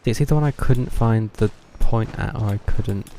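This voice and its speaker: A man's voice: on the low side, normal speed, mellow, a little hiss or hum. A man giving casual gameplay commentary on a livestream, muttering hesitantly as he thinks aloud, with game music behind him. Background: video game music.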